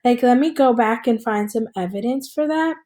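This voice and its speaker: A woman reading a story aloud as a teacher and thinking out loud, checking in with listeners in an instructional way. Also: on the high side, normal speed. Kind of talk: read-aloud lesson with think-aloud commentary.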